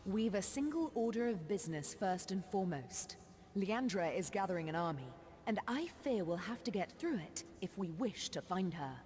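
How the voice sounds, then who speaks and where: An actress playing a solemn, foreboding sorceress in a fantasy video game, speaking in grave, formal, measured phrases. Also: female voice, mid-range voice, lively intonation, a little mellow, close to an everyday voice. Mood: grave, urgent. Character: solemn, foreboding sorceress grieving over her wayward sister. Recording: long reverberant hall.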